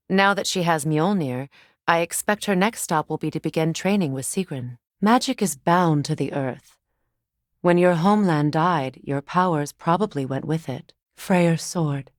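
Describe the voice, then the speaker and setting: An actress playing a proud, mature Norse goddess in a video game, measured and formal in speech, wry and guarded. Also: female voice, low voice, no echo, clean studio sound, lightly acted. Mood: composed. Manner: measured, dignified, formal phrasing. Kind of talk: dramatic game dialogue and storytelling.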